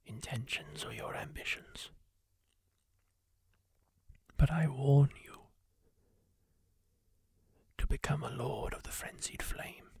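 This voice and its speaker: A performer whispering in character as a kindly masked healer, slow and hushed, in an ASMR roleplay. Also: mid-range voice, no echo, exaggerated voice. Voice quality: whispered, gravelly and breathy. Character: kindly masked healer tending a wounded wanderer.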